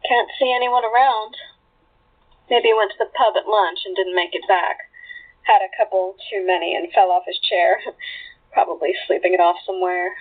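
A woman acts out a brazen, flirtatious drinker in an audio drama. She has a rough-edged, teasing voice of medium pitch, recorded with a narrow, muffled sound. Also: steady tone, normal speed, natural voice. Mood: playful. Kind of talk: naturalistic audio drama acting. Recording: muffled narrow-band sound, faint music bed.

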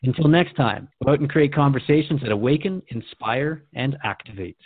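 A man's voice: medium pitch, normal speed. A man hosting a radio drama interview in a thoughtful, courteous manner. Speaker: radio show host. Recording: dry, narrow phone-like band.

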